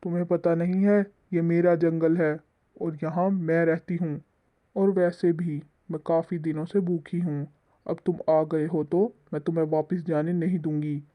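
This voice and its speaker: Woman putting on a menacing low-voiced forest witch, muffled. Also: little pitch movement, moderate pace, natural voice. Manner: commanding, threatening, demanding. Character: menacing hungry female witch haunting her forest. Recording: muffled, phone-like lo-fi sound.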